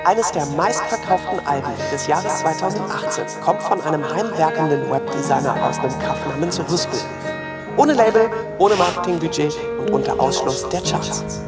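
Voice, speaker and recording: A man's voice: fairly high pitch, lively intonation, moderate pace. A man reading scripted narration for a documentary trailer. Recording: trailer voice under loud guitar music. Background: loud guitar music.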